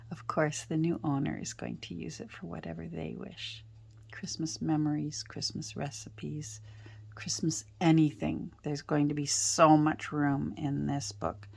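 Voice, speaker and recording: Low, gravelly woman with a stuffy cold. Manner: soft, often drops to a whisper, rambling. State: congested from a cold. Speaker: journal-making crafter. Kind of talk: casual chat while crafting. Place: indoors at a craft worktable.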